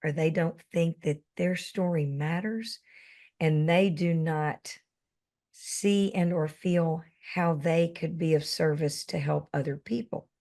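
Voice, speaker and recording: A woman host with a low voice who speaks slowly and deliberately. Her phrasing is earnest and appreciative as she leads the interview. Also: fairly even intonation, dark tone. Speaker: podcast host. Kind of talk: interview questions.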